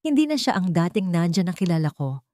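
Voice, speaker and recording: A dry recording of a scheming man voiced by a woman storyteller with a Filipino accent, fairly low. He sounds measured and earnest, insinuating under feigned concern in a dramatic story. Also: sing-song, warm tone, no room sound.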